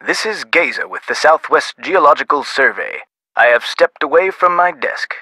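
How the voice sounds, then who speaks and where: An actor voicing a dry, guarded but chatty government clerk in a video game phone conversation. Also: male voice, a little high, sing-song, normal speed, pushed and bright, no echo, heavily acted. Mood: businesslike.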